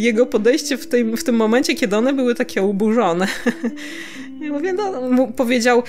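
A woman's voice: a little high, expressive, moderate pace, darkish tone, soft background music. A woman chatting casually and reminiscing as a podcast co-host, giggly and a little hesitant, letting sentences trail off.

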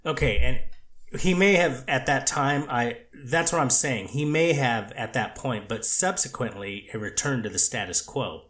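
A man's voice: medium pitch, expressive, a little slow, fairly bright tone. A man with a mid-range voice gives testimony in an echoey courtroom. He answers carefully and measuredly, qualifying his statements and restarting to choose his words. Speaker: treatment clinician testifying as witness.

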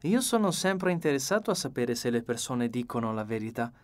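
A man's voice: mid-range voice, big pitch swings, a little fast, lightly acted. A man voicing a fussy, methodical little foreign detective in an audiobook, with theatrical courtesy and precision. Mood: inquisitive. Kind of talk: audiobook character reading.

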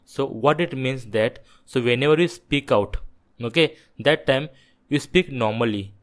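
A man narrating a software tutorial, explaining things step by step in an instructive, repetitive way. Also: mid-range voice. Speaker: tech tutorial presenter.

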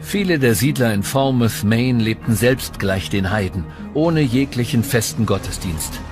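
A male documentary expert explains in a medium-pitched voice. There is clear music behind his commentary. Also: lively intonation, measured pace.

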